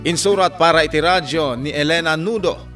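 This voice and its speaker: A man announces a radio drama in a declamatory style. His voice is raised and forceful, with a northern Philippine accent, over music. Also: a little high. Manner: slow, declamatory. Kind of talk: scripted dramatic announcing and credits.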